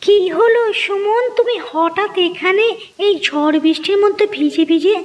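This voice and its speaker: A woman's voice: very high voice, normal speed, dull audio. A woman playing an anxious, fretful young village wife in a fairy-tale cartoon. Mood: disbelieving. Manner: fretful, questioning, melodramatic.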